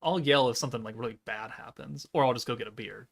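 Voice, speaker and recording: Male streamer, 30s, gravelly and deadpan, laid-back monotone chat. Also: mid-range voice, fast talker. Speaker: gaming streamer. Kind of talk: casual live-stream chat, reading chat aloud.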